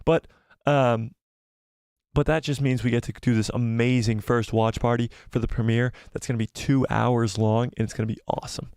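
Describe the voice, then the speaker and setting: A young man hosting a live stream, rambling excitedly, laughing often and reading viewer comments, slightly losing his voice. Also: medium pitch, moderate pace, smooth, no echo, clean studio sound. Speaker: YouTube channel host.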